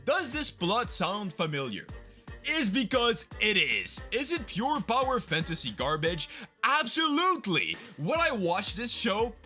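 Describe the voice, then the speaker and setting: A young man gives sarcastic, mock-dramatic commentary on the new anime season. His tone is bright, he keeps his voice a little high, and his pitch swings widely. Soft music plays faintly underneath. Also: sing-song, unhurried. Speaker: YouTube host.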